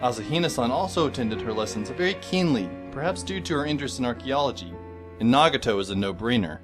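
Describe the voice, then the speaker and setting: A man's voice: medium pitch, animated, fast talker, lightly acted. A man narrating as a wry, sarcastic teenage boy with a dry, sardonic manner, over background music. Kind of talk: fan audio drama narration.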